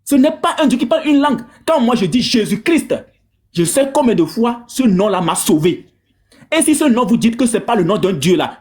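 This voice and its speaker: Animated high-voiced man preaching. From Togo. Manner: animated, mocking, repeats phrases for emphasis. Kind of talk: polemical religious commentary.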